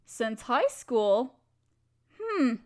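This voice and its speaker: A woman playing an affectionate, gently teasing wife who shares love poems with a partner in an intimate roleplay audio. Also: on the high side, sing-song, very slow, full character voice. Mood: playful teasing.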